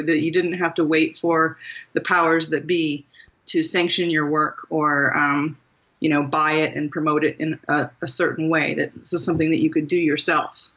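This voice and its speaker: A woman's voice: low-pitched, a bit bright, slightly rough, highs cut off. A woman in her forties from southern Maryland, talking thoughtfully as an interview guest with frequent ums and restarts. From southern Maryland, USA. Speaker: guest author. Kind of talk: interview conversation.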